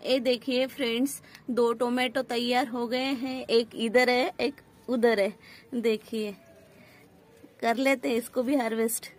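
Slow-talking woman touring her terrace garden. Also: on the high side, flat delivery. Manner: addresses viewers as friends, points things out. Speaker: gardening vlogger. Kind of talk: casual show-and-tell walkthrough. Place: rooftop terrace garden, outdoors. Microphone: phone camera held while filming.

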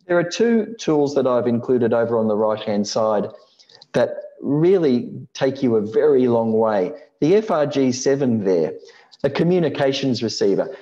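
A middle-aged man giving an explanatory slide talk in a measured, matter-of-fact way, on a dull lo-fi microphone. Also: medium pitch, big pitch swings, dark tone. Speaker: presenter of an electronics building tutorial.

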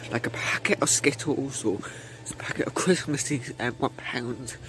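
A man's voice: mid-range voice, moderate pace. A British teenage man chatting casually for a vlog in a hesitant, rambling way. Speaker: student vlogger. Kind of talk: casual day-in-the-life vlog chat. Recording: clearly noisy amateur handheld recording.

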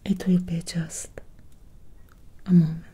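Woman acting as a polite but slightly menacing victorian vampire lady doctor, breathy asmr whisper, really dark soft tone. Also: fairly low pitch, little pitch movement, full character voice. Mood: soothing, condescending. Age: young adult. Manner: slow, deliberate, formal. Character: courteous, faintly menacing female vampire doctor of Victorian era. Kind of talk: ASMR roleplay. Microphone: close sensitive microphone.

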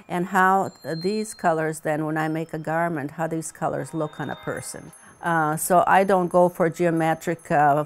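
A woman, a retired teacher and weaver, speaking thoughtfully with many pauses and fillers in a seated interview at home. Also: low-pitched. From Croatia. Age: 70s. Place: home living room, indoors.

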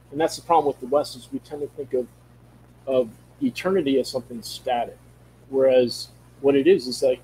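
A man's voice: medium pitch, warm tone, a little hiss or hum, rough recording. A middle-aged man with a Minnesota accent who rambles and restarts as he talks over a video call from a room at home. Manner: rambling, searching for words, many restarts. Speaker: guest. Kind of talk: casual conversation. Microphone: studio mic on video call.

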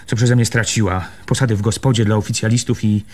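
Male actor as determined outsider, land surveyor, radio play, lowish husky voice, earnest, hesitant. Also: fairly even intonation, quick pace, darkish tone, natural voice. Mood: resolute, agitated. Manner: earnest, hesitant, repeats words for emphasis. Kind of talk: Polish radio play acting.

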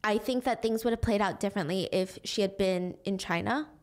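A young woman narrating a true crime case into a studio mic at home, animated and acting out the quotes. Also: medium pitch, brisk. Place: small room at home, indoors. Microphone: studio mic on boom arm.

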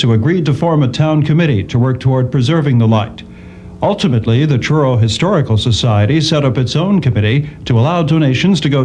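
A man's voice: mid-range voice, a little mellow, a little hiss or hum. A man reading scripted narration as a documentary narrator.

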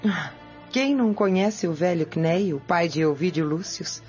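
A woman's voice: lowish voice, a little slow, darkish tone, soft background music, natural voice. An actress playing an obedient, deferential servant woman who conspires with her mistress in a radio drama. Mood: deferential, attentive.

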